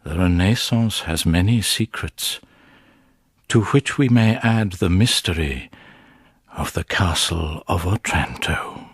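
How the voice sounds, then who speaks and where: Sly gothic friar, low husky voice, slow and theatrical, radio play. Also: male voice, lively intonation, exaggerated voice. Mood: smug, secretive. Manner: theatrical, measured, insinuating. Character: scheming, self-satisfied friar, secret father of a prince. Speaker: actor playing a friar and narrator. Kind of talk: gothic radio drama acting. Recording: echoey radio play with music bed.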